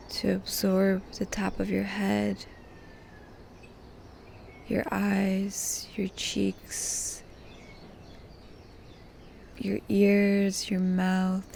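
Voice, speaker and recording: Slow, soft-spoken woman guiding meditation. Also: medium pitch, creaky, slight background noise. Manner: calm, gentle, pausing between short phrases. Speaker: guided meditation host. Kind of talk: guided meditation, soft slow soothing delivery.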